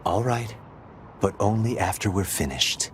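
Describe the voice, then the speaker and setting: An erudite older-brother android in a video game. He is calm, patient and formal, with a deep, expressive voice over faint ambience. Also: a little slow, a little hiss or hum. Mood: patient, superior. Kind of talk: English video game voice acting.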